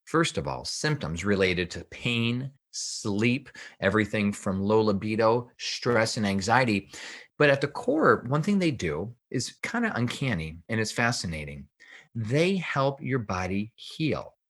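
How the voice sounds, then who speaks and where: A man with a fairly low voice talks as an expert guest on a podcast. He explains in an emphatic, teacherly manner, often putting a question and answering it himself. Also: big pitch swings, measured pace, fairly bright tone. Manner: emphatic, didactic, asks and answers own questions. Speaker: podcast guest, health researcher and author. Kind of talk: explanatory interview talk.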